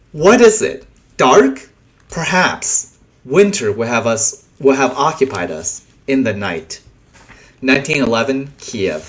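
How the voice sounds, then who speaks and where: A man reads poetry aloud at a slow, deliberate pace. His medium-pitched voice rises and falls widely, with pauses setting short phrases apart. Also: fairly bright tone. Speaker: poetry reader. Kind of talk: reading translated poems aloud.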